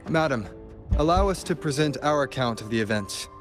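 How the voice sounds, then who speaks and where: An actor playing a polite, composed investigator giving formal, measured testimony in a video game. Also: male voice, medium pitch, lively intonation, close to an everyday voice. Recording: music clearly behind the voice.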